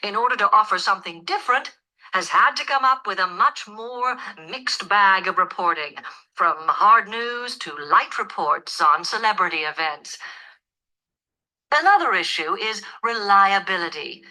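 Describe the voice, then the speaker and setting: A woman delivering a scripted academic lecture in a measured, formal, explanatory manner. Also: medium pitch, lively intonation, sharp tone, poor audio. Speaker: lecturer in a listening test.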